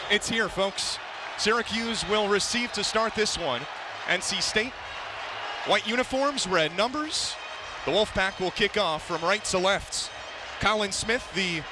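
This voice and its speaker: A man's voice: very high voice, background noise. A man doing live play-by-play sports commentary in punchy, clipped phrases, as an announcer calling the game. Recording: live stadium crowd behind the voice. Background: outdoor ballpark crowd.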